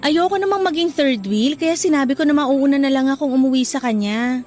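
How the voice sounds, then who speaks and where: A woman's voice: sits high, fairly quick, a little mellow, faint music behind, natural voice. A woman with a Filipino accent playing a devoted young office worker and girlfriend in a radio drama, earnest and emotive. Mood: light, friendly. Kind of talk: Tagalog radio drama acting.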